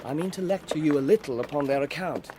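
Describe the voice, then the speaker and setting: A man playing a cheerful, affectionate young gentleman in a dramatised period audiobook, courteous and effusive. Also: on the high side, fast, background noise, lightly acted. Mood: gently concerned. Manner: effusive, courteous, formal phrasing.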